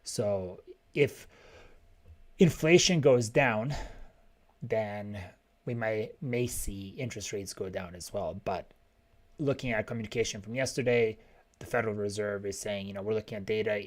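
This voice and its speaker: A man's voice: fairly low pitch, measured pace. A man hosting a livestream as a macroeconomist, giving blunt, opinionated casual commentary and thinking aloud in short fragments. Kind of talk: casual live financial commentary, answering viewer questions.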